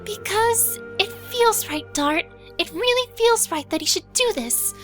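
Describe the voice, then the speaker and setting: A woman's voice: very high-pitched, expressive, unhurried, soft background music. A woman playing an earnest, hopeful female guardian spirit in an audio drama, pleading her case.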